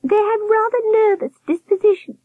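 A woman voicing a huge storybook nurse who speaks in a high, warbling, gushing and falsely sweet voice. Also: steady tone, moderate pace, dry recording, phone-quality sound. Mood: sugary dismay. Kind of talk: audiobook character voice.

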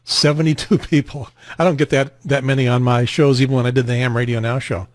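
An American man in his sixties hosting a radio net in casual chat, rambling and halting, on a close mic in a small home room. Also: medium pitch, steady tone, no echo. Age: 60s. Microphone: close boom studio mic, headset on.

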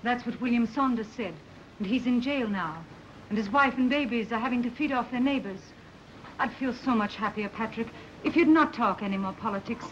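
A woman playing a sociable, devoted colonial wife with an expressive, theatrical 1930s film delivery. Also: on the high side, fairly quick, lightly acted. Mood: worried. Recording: dull, noisy 1930s film soundtrack.

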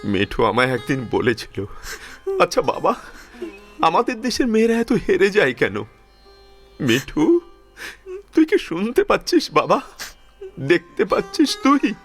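Man acting slow, impassioned elderly father-in-law. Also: high-pitched, huge pitch swings, soft background music, full character voice. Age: older. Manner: slow, pleading, repeating phrases with emotional pauses. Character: tender, grieving elderly father-in-law, resolute and protective. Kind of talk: emotional audio drama acting.

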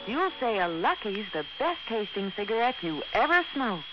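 A woman's voice: mid-range voice, big pitch swings, normal speed. A woman singing a 1950s radio advertising jingle. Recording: dull vintage radio, loud music.

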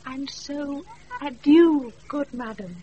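A woman's voice: high voice, slow pace, mellow, slight background noise. An actress playing an earnest young woman disguised as a youth, formal, in a classical Shakespearean radio style. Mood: solemn. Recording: muffled off-air radio recording.

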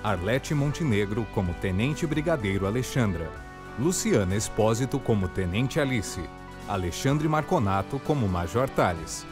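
A man reading scripted credits as an announcer. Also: mid-range voice.

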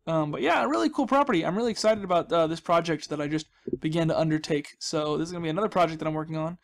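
Male gaming host, slightly high register. Chatty, jokey, self-deprecating, loose off-the-cuff play commentary. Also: racing. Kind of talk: casual rambling gameplay commentary.

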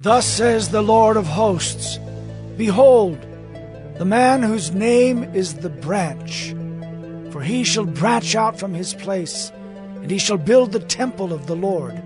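High-voiced, awed prophet. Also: male voice, lively intonation, takes it slowly, music in the background. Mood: proclaiming. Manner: earnest, dramatic, declaiming. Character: awed, earnest prophet recounting his visions. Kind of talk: dramatized audio Bible.

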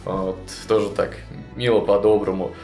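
A young man casually retelling a tabletop game campaign to a co-host, animated and full of fillers and restarts, in a small indoor studio. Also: fairly low pitch, normal speed. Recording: roomy echo, faint music behind.

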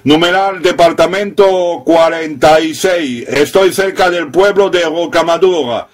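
A man's voice: fairly high pitch, measured pace. A man working as an amateur radio operator, talking in call signs and phonetic spelling during a contact.

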